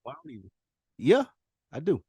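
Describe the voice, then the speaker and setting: A man's voice: a little high, very animated, a little fast, a little mellow, breathy edge, dry recording. An American man co-hosting a podcast, talking casually in an earnest, emphatic, slangy way and often repeating himself for emphasis. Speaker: podcast co-host. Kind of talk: casual conversational podcast talk.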